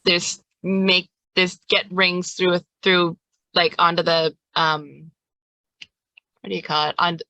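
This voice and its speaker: Female game master for tabletop play, sharp clear-cut tone, slow delivery, casual improvised descriptions, close dry recording. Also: lowish voice, completely flat delivery, dry recording, lo-fi sound. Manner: halting, drawn-out, informal phrasing.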